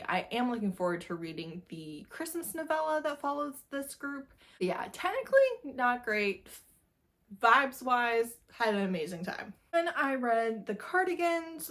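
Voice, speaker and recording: A woman's voice: medium pitch, fairly bright tone. A woman giving a casual, chatty book review in a candid, informal way with wry asides.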